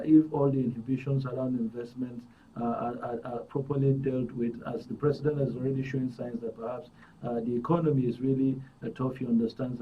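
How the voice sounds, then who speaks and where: A man with a Nigerian accent and a husky voice, hosting a current affairs interview in formal, wordy, measured phrases. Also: medium pitch. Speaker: host. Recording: dull, distant studio audio.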